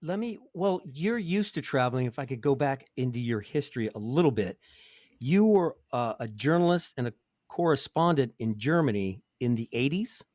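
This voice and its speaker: A man's voice: medium pitch, animated, moderate pace, darkish tone, no room sound. Podcast host man with a dry-sounding voice. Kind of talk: conversational interview.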